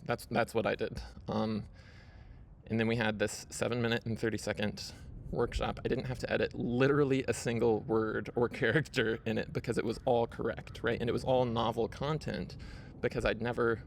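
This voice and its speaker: A man's voice: medium pitch, flat delivery, normal speed, creaky, a little hiss or hum. A man hosting his own video, casually walking viewers through his screen with lots of fillers and "right?" tags. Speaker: video host. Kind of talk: casual screen-share walkthrough.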